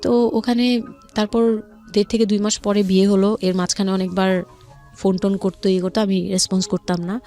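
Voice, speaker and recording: A woman with a Bangladeshi accent tells a personal story in a mid-pitched voice. She speaks haltingly and confidingly, as an interview guest, with music playing underneath. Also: flat delivery, dark tone. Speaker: guest telling her life story. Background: background music.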